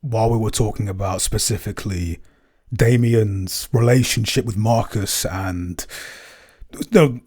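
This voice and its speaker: Low-voiced man, halting casual chat. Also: darkish tone, slightly rough. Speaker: podcast producer and composer. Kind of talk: casual behind-the-scenes Q&A chat.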